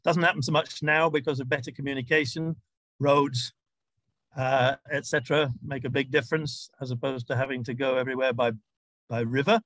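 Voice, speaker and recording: A man giving an academic lecture in a measured, explanatory way, with hesitant pauses and informal asides. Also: mid-range voice, fairly even intonation, a bit bright, no room sound. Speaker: university lecturer.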